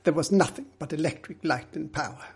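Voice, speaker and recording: Man playing an earnest Englishman, raised husky voice. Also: a little high, a little fast, pitched above the performer's own voice. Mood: firm. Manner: emphatic, formal.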